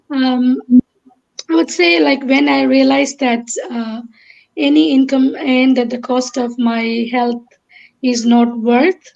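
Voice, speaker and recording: A woman in her thirties from Kerala, India, speaking as a guest in an online interview, pausing often with ums and uhs. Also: high voice, gravelly, a little mellow, lo-fi sound. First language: Malayalam. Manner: hesitant, many ums and uhs.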